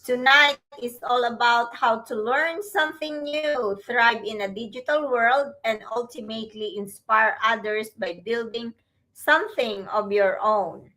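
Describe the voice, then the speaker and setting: A woman's voice: fairly high pitch, measured pace, sharp tone, low-quality recording. A woman from the Philippines co-hosting a casual live stream, chatty and sometimes searching for words. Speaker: co-host.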